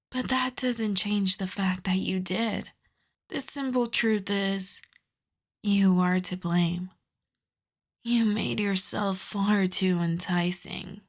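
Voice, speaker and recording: A woman acts out a female vampire, possessive and seductive, in an intimate ASMR roleplay. Her pitch is medium and her pace is very slow and lingering. She teases softly, often slipping into a breathy half-whisper.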